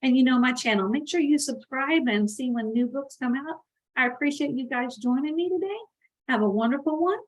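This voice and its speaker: A woman with a high voice hosts a read-aloud for children. She tells the story expressively and in an animated way, turning to address her young listeners directly. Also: fairly quick, poor audio. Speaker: read-aloud channel host.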